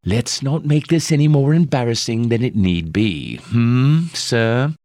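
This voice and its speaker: A man voicing a calm, poised female bodyguard who speaks quietly and with measured courtesy. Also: mid-range voice, no room sound, clean studio sound, pitched above the performer's own voice, close to an everyday voice. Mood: brisk.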